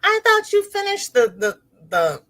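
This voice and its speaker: A woman's voice: very high voice, wildly swooping pitch, a little slow, a bit bright. A middle-aged woman hosting a casual crochet livestream, reading viewer comments aloud and greeting people by name.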